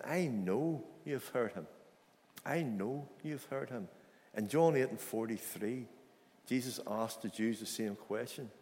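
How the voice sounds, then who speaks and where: A man preaching a sermon in an earnest, storytelling manner, repeating his points for weight. His voice sits fairly low. The sound carries a long hall reverb, as if from a church hall. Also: a little mellow. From Ireland.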